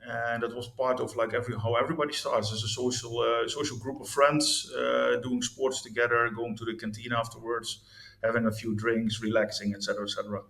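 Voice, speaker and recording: A man's voice: mid-range voice, flat delivery, fairly quick, creaky, a bit bright. A man in his fifties from the Netherlands, a guest telling his story in an interview, hesitant, with many restarts and fillers. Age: 50s. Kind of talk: candid interview talk about his life. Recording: echoey room.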